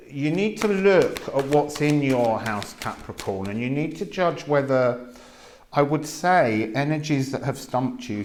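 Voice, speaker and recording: A man giving a casual spoken card reading, musing slowly with many pauses. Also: lowish voice, a little mellow. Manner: slow, musing, drawn-out delivery with many pauses. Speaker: tarot and astrology reader. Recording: echoey room with faint noise.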